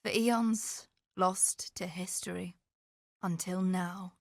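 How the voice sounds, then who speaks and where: An actress playing a shrewd, greedy treasure hunter in a video game, telling tales theatrically with dry, sardonic asides. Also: female voice, on the low side, fairly even intonation, takes it slowly, gravelly, a little mellow, dry recording, heavily acted. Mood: secretive, wistful. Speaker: video game character voice actor. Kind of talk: scripted game character dialogue.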